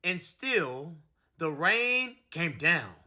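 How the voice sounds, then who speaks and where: A man reads a children's picture book aloud with animated, expressive storytelling. His voice sits high and swoops freely, bright and forward. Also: drawn-out words. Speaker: read-aloud host.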